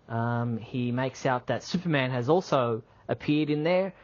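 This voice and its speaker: A man co-hosting a radio show, chatting casually. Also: medium pitch. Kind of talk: casual talk show chat. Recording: dull lo-fi audio.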